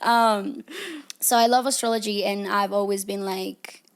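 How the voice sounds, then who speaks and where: A young woman from Ecuador talks as a podcast guest. Her speech is loose and chatty, full of fillers, and she laughs easily as she goes. Her voice sits at a middle pitch. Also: steady tone, measured pace, smooth. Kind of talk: casual chat.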